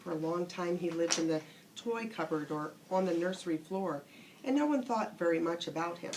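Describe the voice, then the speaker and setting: A woman's voice: fairly low pitch, lively intonation, moderate pace, a little mellow, poor audio. A woman narrating a children's bedtime story, reading aloud from a storybook. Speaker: storybook reader. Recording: faint background noise, room ambience.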